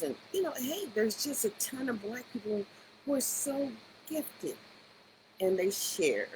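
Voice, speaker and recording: A woman's voice: medium pitch, noisy background. A woman in her sixties giving rambling, conversational commentary with emphatic rhetorical questions. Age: 60s. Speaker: education advocate. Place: Las Vegas area.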